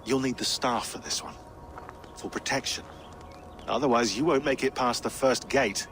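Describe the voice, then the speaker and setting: An actor playing a brainy, earnest modern-day researcher, talking in a musing, conversational way in a game cutscene. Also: male voice, mid-range voice, moderate pace, a bit bright, natural voice. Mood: cautioning. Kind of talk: naturalistic game cutscene acting. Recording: faint ambient noise.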